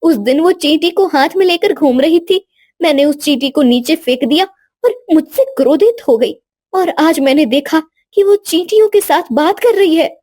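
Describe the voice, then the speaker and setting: Woman as an anxious, high-voiced queen mother. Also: lively intonation, moderate pace, a little mellow. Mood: worried. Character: anxious queen mother, worried about her daughter. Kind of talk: Hindi cartoon moral story dialogue.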